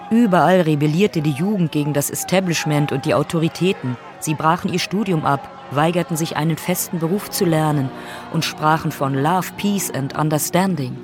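A woman's voice: deep voice, normal speed. A woman delivering scripted documentary narration as the narrator of a radio drama. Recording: guitar music behind the voice.

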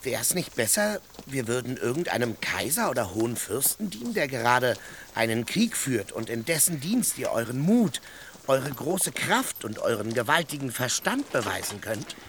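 Practical servant, plain-spoken, doubting, very melodic delivery, radio play, some background ambience noise. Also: male voice, medium pitch, very animated, moderate pace, a bit bright. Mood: pondering, coaxing. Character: down-to-earth peasant squire, loyal, practical, wary. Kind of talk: German radio play acting.

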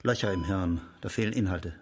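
An actor playing a sober doctor in a sci-fi radio drama, clinical and matter-of-fact. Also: male voice, low-pitched, brisk, lightly acted. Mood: clinical, grave. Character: sober male doctor examining a patient. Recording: long reverberant hall, faint noise.

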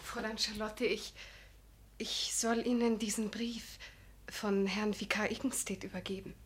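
A woman's voice: mid-range voice, steady tone, a little slow, a little hiss or hum, natural voice. A woman playing a young housemaid in a radio drama, deferential but insistent. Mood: dutiful. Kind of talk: German radio drama acting.

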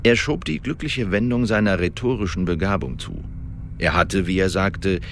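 Radio drama lead: Elizabethan agent making a formal report, lowish voice, precise, measured, pedantic. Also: male voice, a little hiss or hum. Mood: careful, narrating. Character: Elizabethan male secret agent giving a careful official report. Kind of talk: radio drama monologue, testimony.

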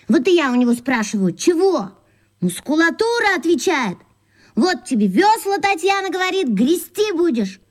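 A tough, bossy young girl, with a very high voice and lively swings in pitch. She is blunt, contrary and scornful, talking in slangy, dismissive phrases as in a children's radio drama. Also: normal speed. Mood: grumbling. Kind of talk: children's radio play acting.

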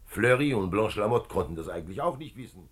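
Deep, husky, methodical police commissioner. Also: male voice, animated. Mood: calm explaining. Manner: measured, explanatory, authoritative. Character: calm, methodical male police commissioner, middle-aged detective. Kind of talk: 1950s German radio play acting. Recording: 1950s radio drama recording.

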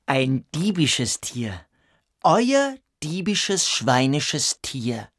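A man's voice: on the high side, big pitch swings, drawn-out words, a bit bright, full character voice. A man playing a cruel, domineering cadet bully in a radio drama, commanding and contemptuous. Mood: gloating.

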